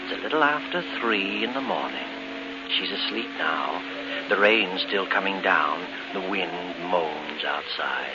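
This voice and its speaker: An actor playing a sinister, taunting narrator of a 1940s radio mystery, ominous and dramatic. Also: male voice, sits low, animated, unhurried, very noisy. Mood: hushed, ominous. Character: sinister omniscient mystery narrator, gloating over the victim. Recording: muffled 1940s radio recording, loud music behind.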